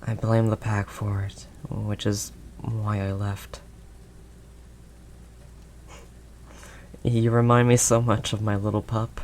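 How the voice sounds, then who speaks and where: A man playing a protective older male werewolf loner, gentle and conversational, in an intimate audio roleplay. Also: lowish voice, flat delivery, unhurried, slight background noise. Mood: wistful, tender. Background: rain ambience sound effects.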